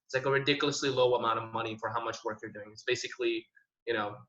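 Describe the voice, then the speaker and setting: Fast-talking man in an echoey room. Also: medium pitch. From Indonesia. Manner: relaxed and conversational, many fillers like "you know" and "um". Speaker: panel speaker, tech professional. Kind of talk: online panel, personal story. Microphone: video call. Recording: echoey room, dull lo-fi audio.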